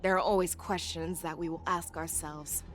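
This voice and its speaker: A composed warrior general acted by a woman for a video game, wise and authoritative. She speaks in a measured way in a slightly low voice, over soft music. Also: fairly even intonation, lightly acted. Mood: solemn.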